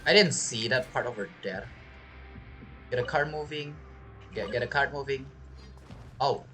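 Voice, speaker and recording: A gaming streamer giving casual, halting gameplay commentary, often muttering to themselves. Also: medium pitch, fairly bright tone, soft background music.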